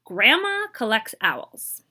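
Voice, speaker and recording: A woman reading a children's picture book aloud in an expressive way, pausing to explain and translate Spanish words. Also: fairly high pitch.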